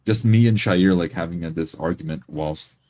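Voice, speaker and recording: A man's voice: low-pitched, normal speed, dark tone. A man chatting casually as a player in a role-playing game group.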